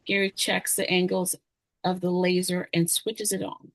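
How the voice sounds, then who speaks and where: A woman's voice: on the low side, completely flat delivery, moderate pace, fairly bright tone, no room sound. A woman reading stage directions as scripted narration.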